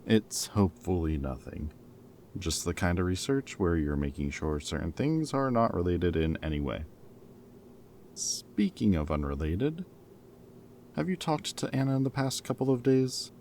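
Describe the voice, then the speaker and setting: A man's voice: sits low, big pitch swings, measured pace, a little mellow, a little hiss or hum, full character voice. A man playing a former villain in an audio roleplay, a playful, loyal friend who talks in a casual, wry, bantering and hesitant way, as if in conversation. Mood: cautious, serious.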